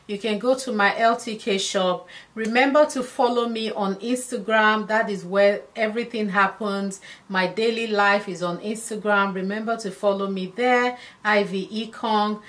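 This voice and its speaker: Slow-talking woman fashion vlogger. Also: mid-range voice, fairly bright tone. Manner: chatty, affectionate, addresses viewers as darlings. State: losing her voice. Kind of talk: casual styling talk to camera.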